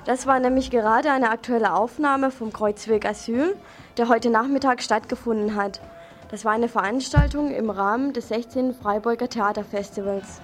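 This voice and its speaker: A woman's voice: a little high, moderate pace. A woman presenting local radio news in an informal style, addressing listeners directly.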